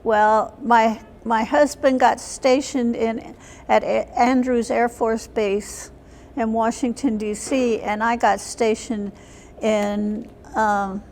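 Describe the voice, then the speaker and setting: An older woman, in her seventies or beyond, recalling her life as an interview guest in a plain, halting way. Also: on the high side, fairly even intonation, darkish tone, slight background noise. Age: 70s or older. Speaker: interview guest, military veteran. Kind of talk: reminiscing in an unscripted interview. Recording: long hall reverb.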